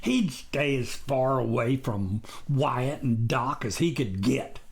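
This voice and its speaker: A man voicing a gruff, mocking, dismissive cynic in a storybook reading, with a barking delivery. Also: mid-range voice, animated, slow. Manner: scornful, dismissive. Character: gruff, scoffing, mocking imagined cynic with Old West talk.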